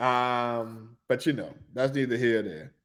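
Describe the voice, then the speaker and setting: A man, talking loosely and excitedly about basketball as a podcast co-host in casual chat. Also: medium pitch, unhurried. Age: 40s.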